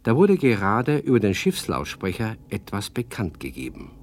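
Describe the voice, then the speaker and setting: A man's voice: lowish voice, huge pitch swings, normal speed, a little hiss or hum. A man narrating a children's radio story in a scripted storytelling style.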